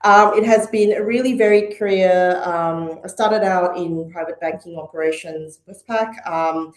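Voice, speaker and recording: A woman's voice: fairly low pitch, measured pace. A middle-aged woman from Malaysia and Hong Kong, a podcast guest speaking thoughtfully with frequent ums and uhs. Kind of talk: conversational interview. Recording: echoey room.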